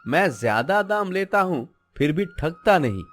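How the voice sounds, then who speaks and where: A man playing an honest, principled young vegetable seller, speaking in a measured, earnest, moralizing way in a cartoon. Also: fairly high pitch, big pitch swings, a bit bright, soft background music. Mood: calm, earnest. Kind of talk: Hindi moral-story cartoon acting.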